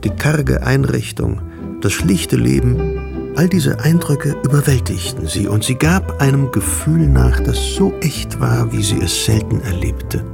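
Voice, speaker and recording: An actor narrating a literary period radio drama as a composed storyteller. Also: male voice, medium pitch, animated, measured pace, vocal fry, over music. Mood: measured narration. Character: composed male storyteller of a period family novel.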